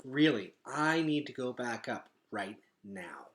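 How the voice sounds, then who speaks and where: A man's voice: medium pitch, animated, takes it slowly, a bit bright, roomy sound, slight background noise. A man voicing an anxious but polite, stubborn boy who blurts things out in a storybook reading. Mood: urgent. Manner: blurting, exasperated, muttering asides.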